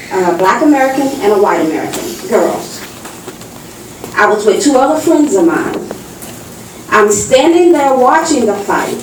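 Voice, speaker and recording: A woman in her fifties telling a personal story at a public meeting, in deliberate, dramatic phrases broken by pauses. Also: sits high, a little hiss or hum. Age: 50s. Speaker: audience member giving testimony. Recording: distant mic in very echoey hall.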